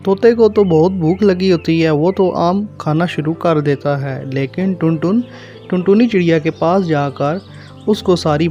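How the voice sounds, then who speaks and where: A man's voice: on the high side, mellow, muffled recording. A man reading scripted narration for a children's cartoon story.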